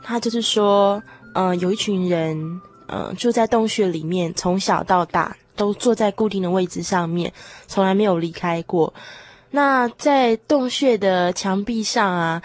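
A young woman with a Taiwanese Mandarin accent, a guest retelling a story in a casual, conversational and animated way. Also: medium pitch, no echo. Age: young adult. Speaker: guest radio drama performer.

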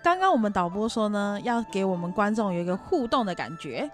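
Mid-pitched Taiwanese woman co-hosting a podcast. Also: sing-song, fairly quick, soft background music. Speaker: podcast co-host. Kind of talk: explanatory podcast announcement.

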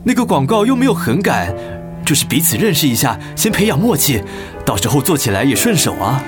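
A man's voice: fairly high pitch, sing-song, a little fast, over music. An actor playing a pushy, pragmatic businessman husband with a Taiwanese accent and a glib, persuasive manner in a radio drama. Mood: coaxing. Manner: glib, persuasive, exasperated when crossed. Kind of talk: Mandarin radio drama acting.

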